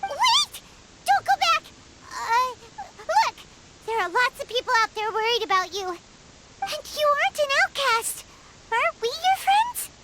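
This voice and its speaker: A woman voicing a blunt, bold tiny fairy girl companion with a high, shrill, outspoken voice. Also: very animated, a little slow, very noisy, heavily acted. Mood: pleading. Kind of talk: video game voice acting.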